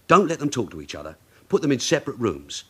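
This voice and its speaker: An actor playing a brisk, authoritative police detective sergeant in a radio drama, with a clipped, probing, commanding manner. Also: male voice, mid-range voice, big pitch swings, sharp tone, slightly rough, exaggerated voice.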